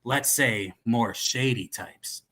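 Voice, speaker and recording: Man putting on a shady criminal arms dealer voice, forceful. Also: on the low side, moderate pace, vocal fry, husky, exaggerated voice. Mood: scheming, confident. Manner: guarded, menacing criminal talk.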